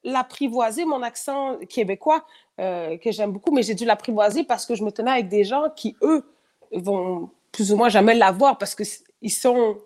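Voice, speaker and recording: A woman's voice: a little high, moderate pace, a little mellow. A woman in her thirties with a Quebec French accent and a slight France-French tone, talking candidly as a guest in a casual interview, with many fillers and restarts. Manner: candid, lots of fillers and repetitions, frequent laughs.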